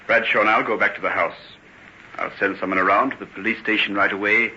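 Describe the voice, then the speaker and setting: An actor in an old-time radio play as a commanding, grave gentleman who speaks formally and decisively. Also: fairly low pitch, fairly even intonation, quick pace. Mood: grave, controlled. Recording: muffled, very hissy old radio recording.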